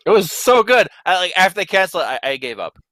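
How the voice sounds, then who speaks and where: A man's voice: sits high, sing-song, quick pace, pushed and bright, no echo. A young adult man chatting informally with friends, with the odd mild swear. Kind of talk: casual chat among friends.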